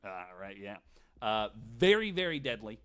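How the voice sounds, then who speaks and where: Male podcast storyteller, low register, loose conversational delivery, dry sarcastic streak and casual swearing. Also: big pitch swings, normal speed, fairly bright tone, clean tone. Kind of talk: casual chat retelling researched stories.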